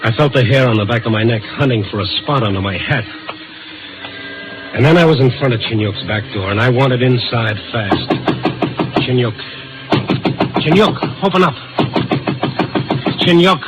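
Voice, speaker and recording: An actor in a 1950s radio drama playing a hard-boiled newspaper reporter, wry, clipped and nosy. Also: male voice, mid-range voice, expressive, moderate pace, long pauses, over loud music, natural voice, poor audio. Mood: insistent.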